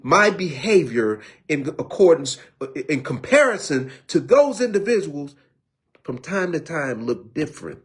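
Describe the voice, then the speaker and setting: A man in his fifties tells his story in a streetwise, preacher-like way. His fairly high voice swings widely up and down. He circles back often and repeats words as he builds each point. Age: 50s. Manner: preachy, streetwise, repetitive restarts. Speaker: recovery speaker. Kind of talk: personal testimony talk.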